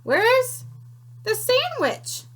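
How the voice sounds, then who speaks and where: A woman's voice: extremely high pitch, big pitch swings, very slow, a bit bright, pitched above the performer's own voice, heavily acted. A woman voicing a pushy, greedy young male pig scout in a storybook read-aloud, sounding eager and squealing. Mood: impatient.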